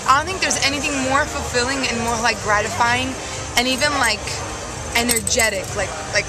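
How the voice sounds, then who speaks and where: A woman's voice: a little high, brisk, low-quality recording. A young woman from Colombia who grew up in Miami, talking casually as a musician guest with many fillers and laughs. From Colombia, raised in Miami. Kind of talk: casual interview. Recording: loud music and crowd noise. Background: loud music and crowd.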